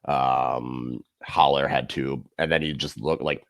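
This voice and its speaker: A man co-hosting a casual sports podcast, running through player stats with animated asides. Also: a bass voice, takes it slowly. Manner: animated, listing stats, swings up when amused. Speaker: podcast co-host.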